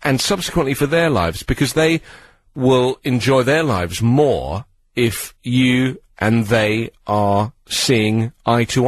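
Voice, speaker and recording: A man with a British accent hosting a radio phone-in, giving earnest conversational advice and posing questions in a measured way. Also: mid-range voice, low-quality recording. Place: radio studio.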